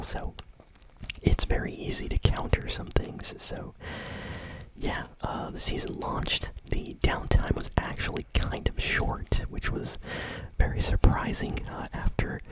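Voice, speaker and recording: A woman whispering gaming news in an ASMR style as the show's host, soft, conversational and unhurried. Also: poor audio.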